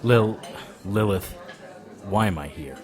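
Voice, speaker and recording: A man's voice: fairly low pitch, takes it slowly, darkish tone. A man playing a weary, sardonic occult investigator in a noir audio drama, with a dry, wisecracking delivery. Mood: weary, dismissive. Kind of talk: noir audio drama acting with first-person narration. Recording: crowd chatter behind the voice. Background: crowd chatter.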